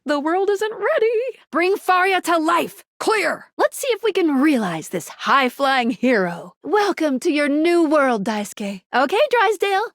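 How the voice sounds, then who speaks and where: Perky, high-pitched game guide. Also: female voice, unhurried, few pauses, dry recording, studio quality, heavily acted. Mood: chipper, helpful. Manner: chipper, quippy, expressive. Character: perky, earnest female dateable-object guide, eager and nervous. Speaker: game character guiding the player. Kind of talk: animated video game voice acting.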